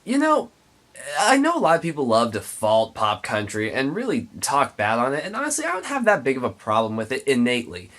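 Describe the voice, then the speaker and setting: A man's voice: mid-range voice, wildly swooping pitch, fairly quick, fairly bright tone. A man with a congested, nasal voice giving an emphatic, opinionated album review as the host. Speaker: music reviewer, show host. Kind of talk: spoken album review to camera.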